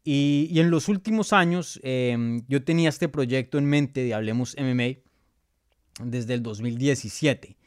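Casting: man in his thirties, Colombian-born, mid-pitched, chatty live Q&A host, dry recording. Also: measured pace, dry recording. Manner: chatty, many fillers and restarts. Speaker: MMA journalist and show host. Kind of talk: live casual Q&A reading viewer questions.

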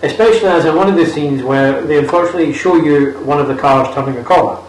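A man's voice: fairly high pitch, quick pace. A young man co-hosting a podcast, bluntly and mockingly recapping a film's plot with plenty of swearing. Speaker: podcast co-host. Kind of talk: casual film review chat. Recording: roomy, distant mic.

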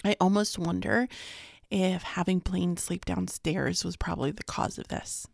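A rambling, chatty woman, sleepy and sliding into a gravelly vocal fry. Her voice sits a little low and is recorded dry, close to a camera mic. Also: measured pace, dry recording. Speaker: vlogger. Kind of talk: casual daily vlog chat.

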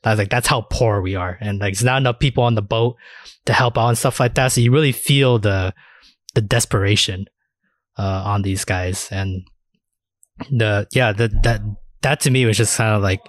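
A man's voice: on the low side, moderate pace, dry recording, clean studio sound. A man hosting a casual anime discussion podcast, chatting informally with plenty of filler words and swearing. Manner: informal, profanity-laced, filler-heavy talk. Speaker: podcast host.

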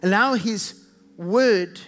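South African preacher, very high male voice, emphatic and repetitive, leans hard on key words; long hall reverb with faint music underneath. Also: fairly bright tone. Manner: emphatic, repeats strings of synonyms, stretches key phrases slowly. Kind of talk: sermon.

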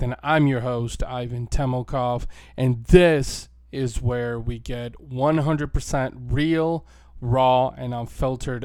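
A man hosting a podcast with a hoarse voice, blunt and crude-spoken, in casual chat. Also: medium pitch, darkish tone, no echo. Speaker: podcast host. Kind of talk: casual podcast intro with profanity.

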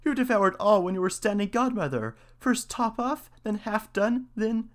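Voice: mid-range voice, animated, moderate pace, pitched above the performer's own voice, natural voice. A person voicing a small, trusting, easily indignant female mouse in a storybook fairy tale. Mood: dismayed, accusing.

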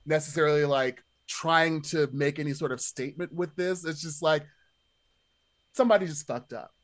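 A man's voice: fairly high pitch, normal speed, dry recording. A man in casual podcast conversation, chatty and hesitant, padding his thoughts with lots of filler words. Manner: chatty, hesitant, many "like" hedges. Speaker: podcast panelist.

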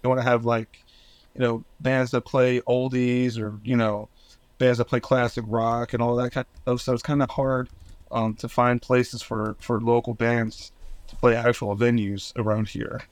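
A man from New Jersey speaks at an average pitch as a podcast guest. His casual talk is loose and rambling, packed with restarts and filler words, with the odd swear. The sound is dry and close, with no echo. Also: flat delivery, normal speed, clean tone. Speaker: podcast guest, band founder. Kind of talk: casual conversation.

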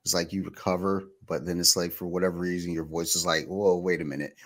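A man hosting an interview, talking in a relaxed, conversational way with lots of "like" and "you know". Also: low voice, fast. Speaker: podcast host, interviewer. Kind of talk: casual interview chat.

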